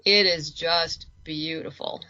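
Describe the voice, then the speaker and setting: A woman's voice: deep voice, steady tone, slow, pushed and bright, no room sound, dull audio. A woman chatting casually as a podcast guest, talking in a rambling, conversational way and repeating words for emphasis. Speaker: guest, comics and audio drama creator.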